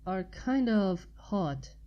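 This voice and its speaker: A woman's voice: mid-range voice, expressive, very slow, lo-fi sound. Woman as a bashful, stammering unicorn mare. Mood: stammering, embarrassed. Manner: mumbling, stammering, uncertain. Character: flustered, bashful female magical unicorn.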